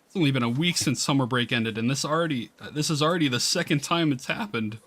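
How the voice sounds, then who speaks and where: Man reading as a sleepy, flustered high school boy. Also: fast, fairly bright tone, natural voice. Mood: subdued, embarrassed. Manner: hesitant, self-conscious. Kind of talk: visual novel read-aloud.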